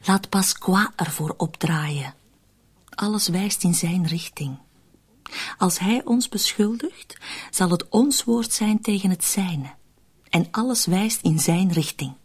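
An actress playing a cunning, cold woman in a radio drama, persuasive and controlled, with a husky, often breathy voice. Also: on the low side, slow. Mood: coaxing, scheming. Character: cunning, cold, manipulative woman plotting to shift murder blame. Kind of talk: Dutch-language radio drama acting.

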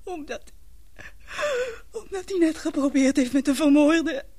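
An actress in a radio play playing a frightened young woman confiding in her lover, halting and breathless in an earnest way. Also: high voice, mellow. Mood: panicked, distraught.

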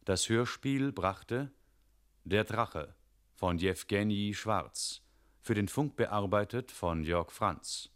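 Low voiced guy, formal radio announcer, slow and careful, reading a script.

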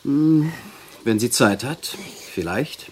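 An actor playing a calm, resigned, trusting prison inmate with a husky voice and a measured manner in a radio drama. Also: male voice, medium pitch, slight background noise. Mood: reserved.

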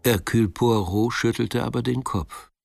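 An actor playing the composed narrator of a crime tale, reading scripted literary narration in a radio drama. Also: male voice, medium pitch, normal speed, creaky, music in the background, lightly acted.

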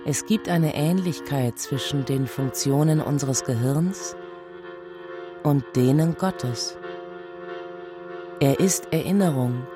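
A man recites poetic radio art at a slow pace. His delivery is measured and declarative. Music is clearly heard behind him. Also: medium pitch. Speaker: radio drama performer.

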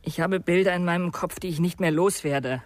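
An actor playing a guilt-ridden, frightened young man, confessing haltingly and with resignation in a radio crime drama. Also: high voice, no room sound, lightly acted. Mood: resigned, fearful.

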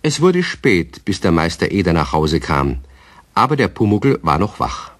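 A man narrating a radio play for children in an expressive storytelling manner. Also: deep voice, moderate pace, dry recording.

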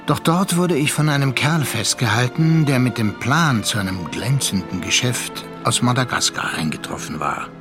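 An actor playing a sea captain who tells his tale in a radio play, with measured, literary narration. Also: medium pitch. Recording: music behind the voice.

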